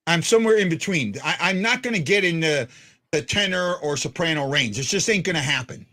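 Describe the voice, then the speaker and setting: A man with a deep voice hosting a casual fan podcast, rambling with stumbling restarts. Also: fairly quick, sharp tone. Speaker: podcast host.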